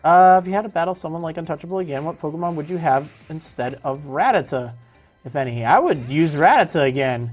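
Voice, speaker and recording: A woman's voice: deep voice, expressive, fast talker, a little mellow, soft background music. A woman streaming a video game in casual chat, answering viewers as she plays, her voice strained and tiring. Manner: chatty, answers viewer chat while playing.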